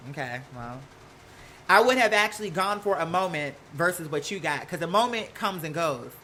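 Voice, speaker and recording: A live stream host with an American accent chatting casually and gossiping, sassy and animated, slangy and rambling from one aside to the next. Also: medium pitch, moderate pace, a little hiss or hum. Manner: sassy, slangy, peppered with "girl" and "honey". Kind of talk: casual live chat to viewers, gossipy commentary.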